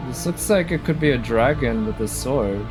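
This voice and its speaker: A man's voice: medium pitch, animated, moderate pace. A man giving casual live commentary on a video game, thinking aloud and often questioning what is in front of him. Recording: game music behind the voice. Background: loud video game music.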